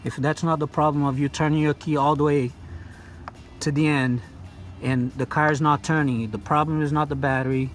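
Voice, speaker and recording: A man's voice: fairly high pitch, level tone, normal speed, soft background music. A man from Brazil with a Brazilian Portuguese accent, casually explaining a car repair while working and filming on a phone in one hand, with loose, repetitive stop-start talk. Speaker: DIY car repair youtuber. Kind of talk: casual how-to demonstration. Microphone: phone held in one hand.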